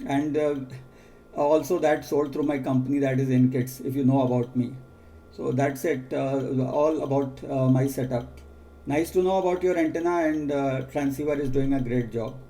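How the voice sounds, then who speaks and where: An Indian-accented man working as an amateur radio operator, measured and repeating key details in a procedural exchange. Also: on the high side, mellow.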